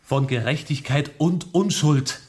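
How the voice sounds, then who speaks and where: A man playing a principled, proud revolutionary in a radio play, speaking with earnest, deliberate stage diction. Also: fairly high pitch, a little mellow, breathy edge. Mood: grave, challenging. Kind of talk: dramatic radio play acting.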